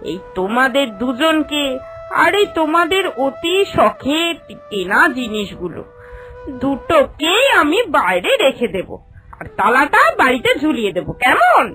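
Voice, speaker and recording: A woman's voice: high voice, pushed and bright, full character voice, rough recording. A woman voicing a sarcastic, hectoring old landlady in a cartoon, scolding and threatening her tenants. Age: older. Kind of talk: dramatic cartoon voice acting.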